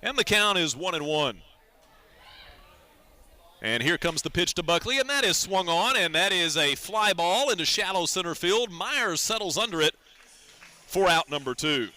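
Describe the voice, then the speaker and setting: An American man calling a baseball game as a radio play-by-play announcer, in a measured, descriptive way. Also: high voice, animated, pushed and bright. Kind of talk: live sports radio commentary.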